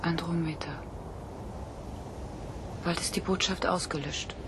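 A woman's voice: fairly low pitch, steady tone, unhurried, natural voice. An actress playing a solemn artificial woman learning to be human, speaking formally and earnestly in a German radio drama. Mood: wistful, reflective. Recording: noisy vintage radio play recording.

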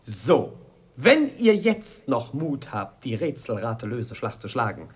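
A man's voice: medium pitch, sing-song, unhurried, husky. A man playing a gleefully macabre imperial minister in a comic radio play, mocking and relishing each word theatrically.

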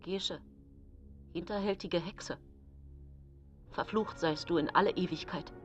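A woman's voice: lowish voice, unhurried, lo-fi sound, soft background music, close to an everyday voice. An actress playing an embittered, pleading woman in a grim historical radio drama. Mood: cursing.

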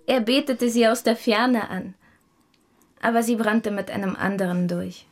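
A friendly young serving girl acted by a woman talks in a fairly high voice. She sounds open and obliging, in the manner of a historical radio play, with slight noise behind her. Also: fairly even intonation, a little slow, natural voice. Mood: gossipy. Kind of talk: German radio drama acting.

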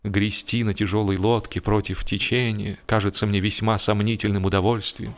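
A man's voice: fairly low pitch, little pitch movement, normal speed. Wry male narrator of comic fiction. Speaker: audiobook narrator. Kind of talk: expressive humorous scripted narration.

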